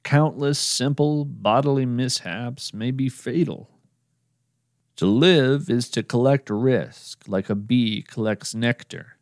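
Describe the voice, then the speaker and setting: A man narrating his podcast in a slow, measured, earnest scripted address to the listener. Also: mid-range voice, a little mellow, dry recording, clean studio sound.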